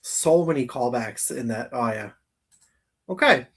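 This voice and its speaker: A man's voice: mid-range voice, lively intonation, measured pace, a bit bright, breathy edge. A man co-hosting a casual geek talk show, circling back and repeating himself as he talks. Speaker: co-host of a live geek countdown show. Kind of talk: casual chat.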